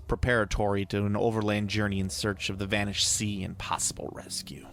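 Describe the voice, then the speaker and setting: A man reading a horror tale aloud as a dramatic audiobook narrator, in a deliberate, theatrical storytelling manner. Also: on the low side, gravelly, fairly bright tone. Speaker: podcast host reading a story. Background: ambient soundscape.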